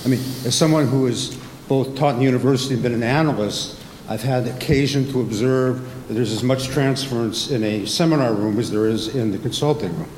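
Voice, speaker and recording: A man of middle pitch asks his question from the floor of a conference hall. He is articulate and lecturing but scattered with "uh." Long reverb and a faint hiss surround his voice. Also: a little fast, darkish tone. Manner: articulate, lecturing, with many fillers. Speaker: audience member, former teacher and analyst.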